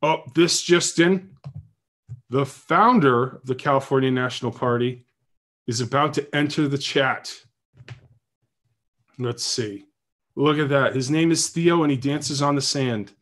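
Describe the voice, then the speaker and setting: A man's voice: medium pitch, a little slow, pauses often, a bit bright. Chatty joking male podcast host. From New Hampshire. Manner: joking, chatty, thinks aloud. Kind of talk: casual political chat on a livestream.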